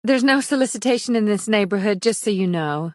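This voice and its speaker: A man's voice: sits high, lively intonation, no room sound, voice pushed up. A male narrator voicing a weary young mother of about thirty with a dry, frazzled way of talking. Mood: wry, weary. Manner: dry, frazzled, quick to chatter.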